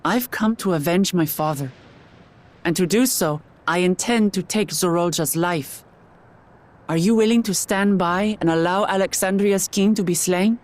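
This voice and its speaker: A woman's voice: lowish voice, moderate pace, darkish tone, lightly acted. A woman playing an earnest, determined young warrior in a video game, plainspoken and direct. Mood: resolute. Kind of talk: scripted video game cutscene acting.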